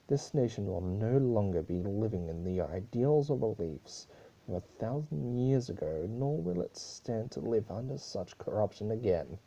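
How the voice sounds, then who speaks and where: A man's voice: fairly low pitch, gravelly, dark tone, breathy edge, heavily acted, poor audio. A man voicing a stern, grieving pony princess with a grave, accusatory, declamatory delivery in an amateur story reading. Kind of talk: amateur dramatic reading of fan fiction.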